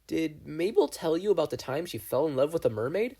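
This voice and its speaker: A man voicing an anxious, earnest young teenage boy in a read-aloud story, with a gravelly, gentle coaching manner. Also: a little high, lively intonation, pitched above the performer's own voice, exaggerated voice. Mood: coaxing. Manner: gentle, coaching, talks fast.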